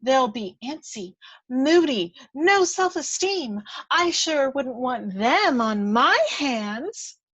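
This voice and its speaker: A feisty little fairy, played by a woman with a high, lifted voice. She is bold and theatrical, leaning hard on her words as she scolds and goads in a dramatic read-through. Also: expressive, takes it slowly, fairly bright tone, slightly rough, full character voice. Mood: urgent persuasion. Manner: emphatic, goading, theatrical.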